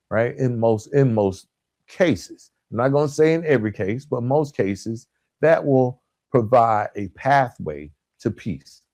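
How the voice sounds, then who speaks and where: A man delivering a motivational self-help podcast talk as a coach, with emphatic, deliberate phrasing and frequent check-in tag questions. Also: fairly low pitch, a little mellow, no echo, clean studio sound. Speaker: podcast host and relationship coach.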